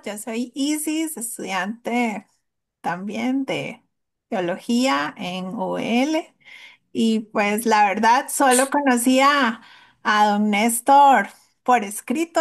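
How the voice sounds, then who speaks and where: A theology student introduces herself earnestly and with gratitude. She speaks slowly in a fairly high voice. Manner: earnest, grateful. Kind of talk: casual self-introduction.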